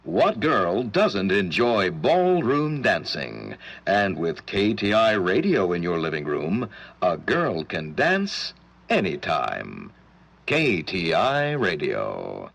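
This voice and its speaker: Low-voiced, very animated American station announcer. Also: male voice, slow pace, vocal fry. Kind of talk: 1940s radio station identification.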